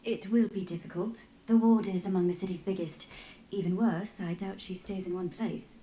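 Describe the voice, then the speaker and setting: A cautious female companion voiced by a woman speaks in a very dark, soft-edged tone at a medium pitch. The game dialogue is sober and matter-of-fact. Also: steady tone, normal speed, slight background noise, natural voice, poor audio. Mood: sober, doubtful. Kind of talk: video game voice acting.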